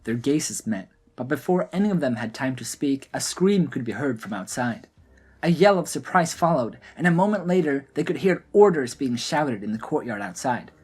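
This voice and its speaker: A man narrating a scripted fantasy audio drama in a deliberate, dramatic storytelling manner. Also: medium pitch, expressive, slightly rough. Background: background music.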